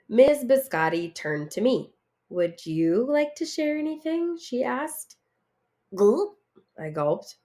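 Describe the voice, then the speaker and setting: Woman, expressive storybook reader for children, very lively swings in pitch, teacherly read-aloud. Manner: expressive, dramatic delivery for children. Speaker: teacher reading a picture book aloud.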